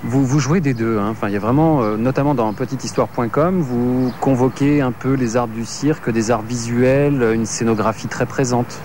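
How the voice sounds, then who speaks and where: A man's voice: mid-range voice, normal speed, few pauses, a little mellow, slight background noise. A male interviewer asking long, hesitant, rambling questions.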